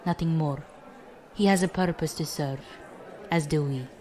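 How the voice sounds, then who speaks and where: A woman plays a stern, dignified admiral in a space audio drama. Her speech is formal and measured, every phrase fully spelled out with commanding poise. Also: sits low, a crowd in the background, natural voice. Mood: calmly firm. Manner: formal, measured, avoids contractions. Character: stern, dignified, loyal female fleet admiral.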